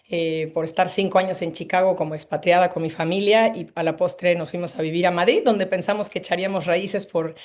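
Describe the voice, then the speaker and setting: A woman's voice: lowish voice, darkish tone. A woman in her fifties, originally from Mexico City, hosting an online presentation over a video call in an effusive, rambling way with many hesitations. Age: 50s. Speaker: webinar host. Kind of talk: online presentation to a live audience.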